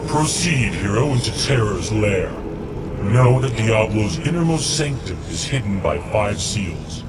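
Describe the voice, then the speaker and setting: A solemn guide in a fantasy game. The delivery is low, formal and commanding, sending a hero onward with gravity. Heavy noise roars behind the voice. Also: male voice, animated, unhurried. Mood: grave instruction. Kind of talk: dramatic fantasy game acting. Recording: very loud background noise.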